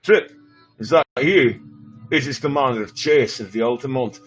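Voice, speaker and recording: A man playing a grim, cautious starship commander in a sci-fi dramatic reading, serious and urgent, with a husky voice. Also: mid-range voice, normal speed, faint music behind, close to an everyday voice.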